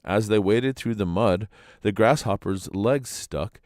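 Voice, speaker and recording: A man narrating legends as a storyteller, in a dramatic, expressive reading. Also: on the low side, measured pace, dry recording, clean studio sound.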